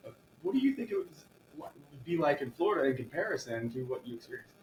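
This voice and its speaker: A man's voice: fairly high pitch, big pitch swings, quick pace, darkish tone, a little hiss or hum. A laid-back man hosts a podcast interview, asking easy questions and laughing along. His speech is loose and slangy. The recording is roomy and echoey. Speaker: podcast host. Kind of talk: casual interview chat.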